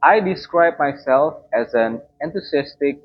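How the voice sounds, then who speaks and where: Slow, careful young man presenting for class. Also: fairly high pitch, animated, muffled recording. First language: Indonesian. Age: young adult. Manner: slow, careful, formal delivery. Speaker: student presenter. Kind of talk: formal class presentation read from notes.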